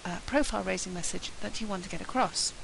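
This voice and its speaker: A woman with a husky voice from a flu talks in a chatty, upbeat way, restarting a phrase now and then. Her voice sits at an ordinary middle pitch. A faint hiss runs under the recording. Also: fast. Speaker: marketing presenter. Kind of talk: solo talk to camera.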